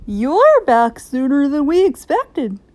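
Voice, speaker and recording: A pompous school principal, played by a woman as a man, speaking formally. The storybook voice is emphatic, with wide swings in pitch. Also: very animated, slow pace, darkish tone, dry recording, full character voice. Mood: officious. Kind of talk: children's storybook read-aloud.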